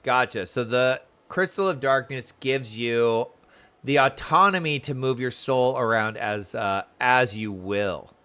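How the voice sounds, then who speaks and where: A man's voice: mid-range voice, unhurried, sharp tone, smooth. A man live-streaming a game, chatting casually and welcomingly with viewers while commenting on the story, often repeating words.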